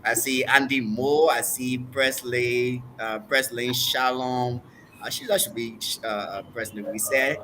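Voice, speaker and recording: Bright-voiced Liberian man hosting. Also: mid-range voice, fairly even intonation, a little slow, a little hiss or hum. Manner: hesitant, many fillers and restarts. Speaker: host, preacher. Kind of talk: online interview.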